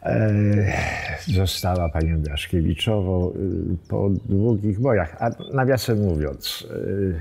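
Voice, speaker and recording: A man, telling local history informally, haltingly and with wry asides. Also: low-pitched, creaky, darkish tone. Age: 70s. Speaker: villa owner telling its history. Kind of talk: informal storytelling to camera, reminiscing. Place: open wooden veranda outdoors among trees. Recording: outdoor background noise, birdsong, small clicks.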